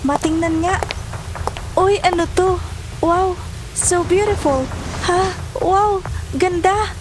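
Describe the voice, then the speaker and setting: A woman's voice: very high-pitched, steady tone, takes it slowly, background noise. A woman playing a curious young female student in an audio drama, with music behind her. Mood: awed.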